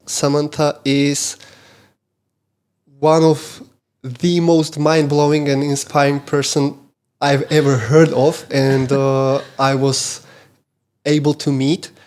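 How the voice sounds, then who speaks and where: A man hosting a podcast interview, who often pauses and restarts with fillers as he frames his questions. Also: on the high side, darkish tone. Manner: halting, many fillers and restarts while framing questions. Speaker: podcast host.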